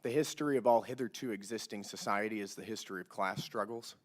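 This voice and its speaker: A man's voice: on the low side, fast. A congressman, a man getting over a cold with his voice partly lost, putting pointed questions to a witness at a hearing.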